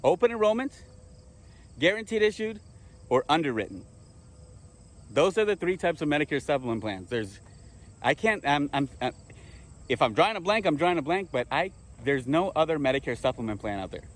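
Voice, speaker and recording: A man's voice: fairly high pitch, big pitch swings, a little fast, pauses often. A man teaching fellow insurance agents in a casual spoken lesson, repeating key terms and checking in with "okay?" as he self-corrects and restarts. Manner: repeats himself, self-corrects, rhetorical questions.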